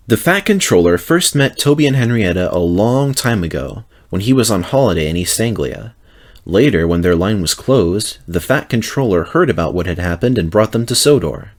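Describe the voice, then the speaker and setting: A man reading a children's story aloud as narrator, in a scripted, dramatic storytelling style. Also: on the low side.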